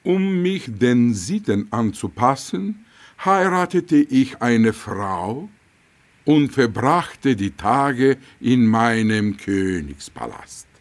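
An actor playing a serene holy master of compassion in a radio drama, solemn and measured, with long pauses. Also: male voice, mid-range voice, sing-song. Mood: solemn recollection.

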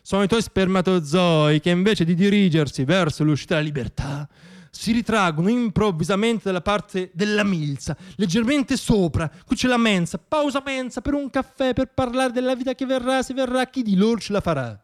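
A man's voice, a little higher than most, performs a comic radio theatre monologue. His delivery is emphatic and sardonic, turned on himself. He uses deliberate pauses and repeats words for effect. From Italy. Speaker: monologist performing own piece.

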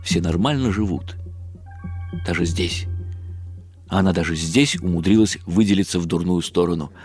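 A man reading a first-person literary story as a radio play, in a measured, wry and bitter tone. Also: deep voice, darkish tone, husky, soft background music.

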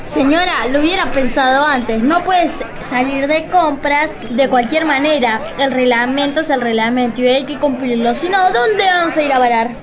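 Woman playing a high-voiced, officious male supermarket supervisor. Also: normal speed, hardly any pauses, crowd noise, natural voice. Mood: officious, insistent. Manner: officious, insistent. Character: stern male supermarket supervisor, rigid rule-follower. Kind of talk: amateur radio play.

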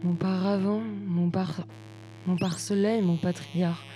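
A young woman reading poetry as spoken word in measured, short separated phrases. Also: lowish voice, fairly even intonation, background noise. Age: young adult. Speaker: student writer reading collective texts.

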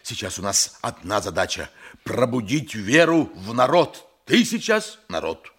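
An actor playing a bossy, money-minded lawyer, insistent and speechifying, in a radio play. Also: male voice, on the low side, very animated, unhurried, fairly bright tone, slightly rough, exaggerated voice. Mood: commanding, grandiose. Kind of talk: theatrical radio play acting.

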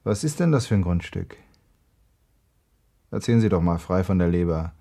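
Young married man in a radio play, mellow low gravelly voice, down to earth, bit guarded. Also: animated, normal speed, heavily acted. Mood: probing. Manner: matter-of-fact, colloquial. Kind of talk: German radio play acting.

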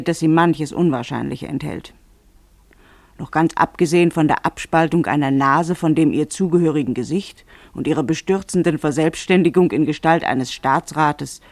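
A man's voice: a little high, gravelly, darkish tone, no echo. A man delivering literary narration in a fussy, bemused, pedantic manner, as if commenting on a tale.

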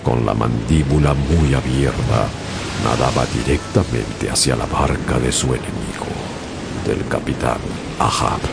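As a sailor recounting a whaling voyage in a radio drama. His voice is extremely low with a gravelly edge. A music score sits behind. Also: a little slow, full character voice. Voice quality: deep, gravelly. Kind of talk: scripted radio narration.

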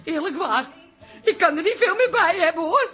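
An actress playing an exasperated, sharp-tongued daughter working in a pub, snappy and sardonic, in a radio comedy-drama. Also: female voice, very high-pitched, lively intonation, normal speed, over music. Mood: overwrought.

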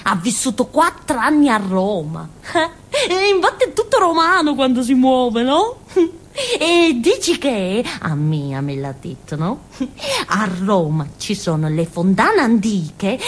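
An actress playing a naive, simple-minded village woman in a theatre monologue, with a southern Italian accent and a childlike, halting, colloquial way of telling things. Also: high voice, big pitch swings, exaggerated voice. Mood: chatty, marvelling. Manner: childlike, halting, giggly, muttering little asides. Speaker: stage actress.

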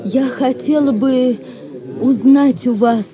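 A woman's voice: high voice, takes it slowly, warm tone. An actress playing a gracious, dignified Saxon noblewoman in an audio drama, speaking in stately, formal declamation. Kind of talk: Russian audio drama acting.

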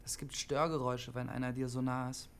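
A withdrawn young son in his twenties, as a male actor plays him in a radio drama. He speaks in a halting, subdued and guarded way at a middling pitch, under loud noise with clicks. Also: level tone, natural voice. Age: 20s. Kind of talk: naturalistic German radio drama acting.

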